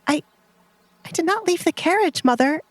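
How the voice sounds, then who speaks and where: Woman voicing a timid, high-pitched young noblewoman. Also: expressive, normal speed, no room sound. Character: timid, meek young noblewoman, gentle and pleading.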